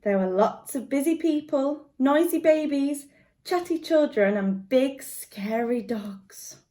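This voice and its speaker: A woman guest reader reading a picture book aloud to young children in an expressive storytelling manner. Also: high voice, slow.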